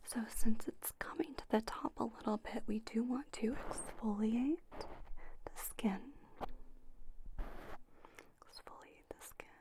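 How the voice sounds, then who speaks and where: A woman doing a whispered ASMR roleplay as a spa owner, gently explaining each step to a trainee. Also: fairly high pitch, takes it slowly, pauses often, poor audio. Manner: gentle, instructional, step-by-step narration.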